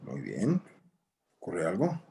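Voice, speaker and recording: A man playing a solemn elderly rancher turned vigilante in a screenplay read, grave and deliberate, mixing Spanish and English. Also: sits low, wildly swooping pitch, gravelly, mellow, full character voice. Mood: courteous.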